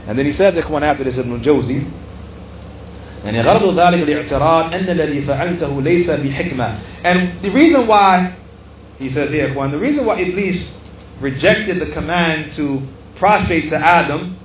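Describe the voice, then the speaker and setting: A man giving an Islamic religious lecture as a teacher, explaining a text emphatically and repeating key phrases for stress as he addresses his listeners. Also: sits high. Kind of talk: religious lecture, reading aloud from a book and explaining it. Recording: echoey room with faint background noise.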